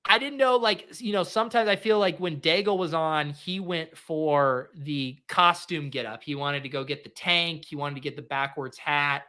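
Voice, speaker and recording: A nasal, fairly high-voiced man in his thirties hosts a loose, jokey chat. He slides from fast rambles into dry deadpan bits. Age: 30s. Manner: goofy, quick deadpan jokes. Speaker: host. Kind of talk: casual chat with a guest.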